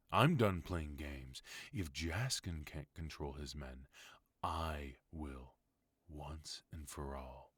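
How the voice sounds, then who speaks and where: A man voicing a ruthless mafia boss who is also a husband, in an ASMR audio roleplay. His voice is extremely deep, and he drawls slowly with cold, measured menace. The sound is dry and close to the mic. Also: breathy edge, dry recording, full character voice.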